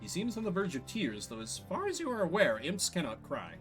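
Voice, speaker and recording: A man reads a fantasy game's narration aloud as he streams it. His intonation is lively and storybook-like, dropping into casual asides. Soft music sits behind his voice. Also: high-pitched, fairly quick. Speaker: streamer playing and voicing a story game.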